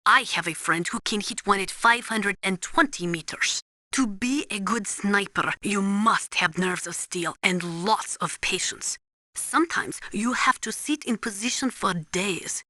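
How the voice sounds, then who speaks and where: A woman's voice: medium pitch, sharp tone, dry recording, studio quality. A woman voicing a serious, knowledgeable military analyst in a video game, briefing in a precise, formal, instructive way.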